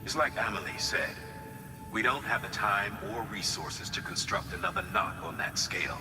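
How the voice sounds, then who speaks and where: An actor playing a pragmatic mission commander in a video game, matter-of-fact and measured. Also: male voice, lowish voice, big pitch swings, vocal fry. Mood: businesslike. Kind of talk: video game cutscene acting. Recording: long hall reverb with music behind.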